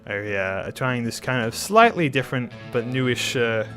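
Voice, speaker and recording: A man's voice: mid-range voice, animated, normal speed, a bit bright, soft background music. A man hosting his art channel in casual talk over a speed drawing, a bit nasal and under the weather, rambling with many restarts. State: slightly sick.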